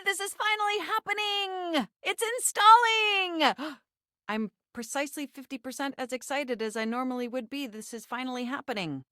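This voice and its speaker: A woman's voice: a little high, a bit bright, no room sound, studio quality. A woman voicing a flirtatious, eager female computer character in a dating video game, speaking with wordy, precise techy diction and a theatrical flair. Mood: giddy excitement.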